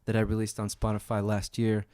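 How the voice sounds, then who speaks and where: A young man from Sweden, a jazz pianist, answering interview questions in relaxed, chatty storytelling full of fillers. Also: lowish voice, brisk, dry recording.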